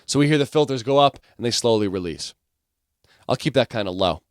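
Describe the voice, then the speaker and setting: A man's voice: medium pitch, expressive, fairly quick, clear, resonant tone, dry recording, soft background music. Dry-sounding man giving a tutorial. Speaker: music production instructor. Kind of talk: software tutorial, explaining step by step.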